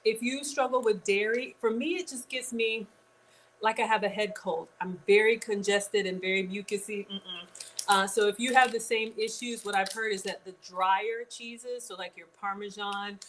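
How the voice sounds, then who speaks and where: A woman's voice: medium pitch, fairly even intonation, moderate pace, a bit bright, a little hiss or hum. A woman hosting a live-streamed cooking class in a home kitchen, chatting straight to her viewers with casual asides. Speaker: cooking show host.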